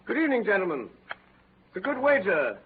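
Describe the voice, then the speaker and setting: A man acting an English gentleman in a 1930s radio drama. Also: high-pitched, huge pitch swings, a little fast. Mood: composed. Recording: tinny, noisy old radio recording.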